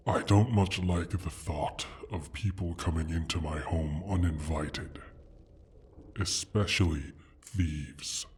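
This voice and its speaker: Male actor as injured hoard-guarding dragon, very low pitch, slow deliberate delivery, husky, menacing, ASMR fantasy roleplay. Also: a little mellow, a little hiss or hum. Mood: cold, displeased. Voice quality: husky, breathy, deep.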